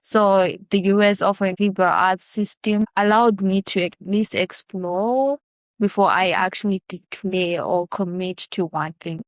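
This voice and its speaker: A young woman from Zimbabwe, a college student guest, answering interview questions in a relaxed way with frequent fillers. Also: mid-range voice, a little slow, dry recording. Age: young adult. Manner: relaxed, many fillers, laughs lightly.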